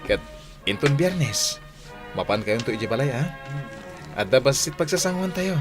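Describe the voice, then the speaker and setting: A man's voice: mid-range voice, expressive, normal speed, natural voice. An actor playing an earnest, forgiving young male graduate in a radio drama, speaking conversationally with an Ilocano Filipino accent. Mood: grateful, forgiving. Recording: music behind dialogue.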